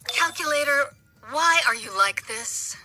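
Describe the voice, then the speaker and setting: A woman acting as a brisk, quippy heroine and tech expert in a video game, wry and urgent. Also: sits high, animated, pushed and bright, faint music behind, exaggerated voice. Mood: exasperated.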